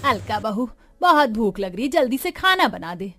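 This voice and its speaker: A woman's voice: a little high, big pitch swings, normal speed, a bit bright. A woman playing a weary, aggrieved elderly mother-in-law in a cartoon family drama, groaning and complaining. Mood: demanding. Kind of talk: Hindi animated moral-story acting.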